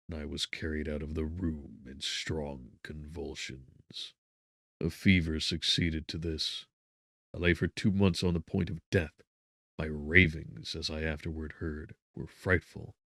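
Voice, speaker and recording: A man reading a gothic audiobook in a solemn, measured, dramatic way, with brooding pauses. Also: rumbling low, dry recording, clean studio sound. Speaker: audiobook narrator. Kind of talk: dramatic scripted narration of gothic literature.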